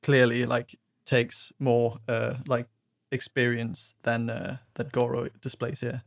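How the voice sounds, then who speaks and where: A man of average pitch talks film on a podcast panel. He is blunt but halting, doubling back on words, in a very dry recording. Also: little pitch movement, gravelly, no echo. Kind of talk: casual film discussion.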